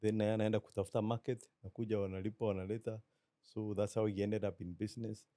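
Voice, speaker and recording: A middle-aged Kenyan man with a Kenyan accent, a business guest talking in a measured, reflective way and slipping into Swahili. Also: fairly low pitch, flat delivery, warm tone, no room sound, studio quality. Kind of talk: relaxed interview talk.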